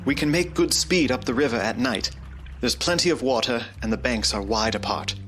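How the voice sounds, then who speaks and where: A man's voice: mid-range voice, moderate pace, a bit bright, faint music behind, lightly acted. A man playing a resolute young adventurer in a gothic audio drama, narrating earnestly and dramatically. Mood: determined. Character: resolute young male adventurer hunting a vampire. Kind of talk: audio drama acting, first-person journal narration.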